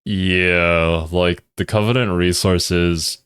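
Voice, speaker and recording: Low-voiced man giving casual reaction commentary. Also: fairly even intonation, takes it slowly, a bit bright, clear, resonant tone, no room sound. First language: Thai. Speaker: reaction video host.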